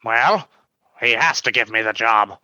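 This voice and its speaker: An actor playing a proud fanged alien scientist in a comedy radio play, speaking in formal, deliberate phrases. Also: male voice, medium pitch, sharp tone, slight background noise. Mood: hopeful.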